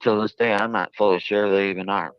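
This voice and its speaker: A man with a very low, smooth voice gives earnest interview answers. His phrasing is halting and stiffly formal. The audio is close and dry but dull and lo-fi. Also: fairly even intonation, dry recording. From United States. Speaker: jail inmate being interviewed.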